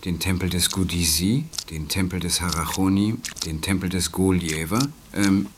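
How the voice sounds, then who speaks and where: A man playing a deadpan, obliging hotel porter in an improvised comedy radio play. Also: low-pitched, normal speed, close to an everyday voice. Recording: noisy amateur 1980s radio-play recording.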